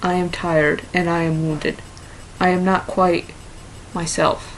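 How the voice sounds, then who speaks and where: A woman's voice: lowish voice, little pitch movement, slow, a little hiss or hum, low-quality recording. Woman reading as a weary wounded swordsman. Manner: quiet, tired, sighing. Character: weary wounded man around thirty-five. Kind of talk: reading a novel aloud.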